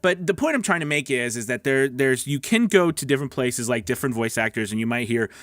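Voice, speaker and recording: A man's voice: mid-range voice, expressive, fast, fairly bright tone, no echo. A man coaching voice-over in a casual, chatty tutorial style, with emphatic, reassuring explanations on a close microphone. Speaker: voice-over coach and show host. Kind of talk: casual tutorial talk with demo script reads.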